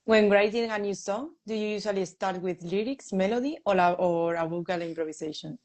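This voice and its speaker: A woman interviewer asking a musician measured, friendly questions. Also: mid-range voice, a little mellow.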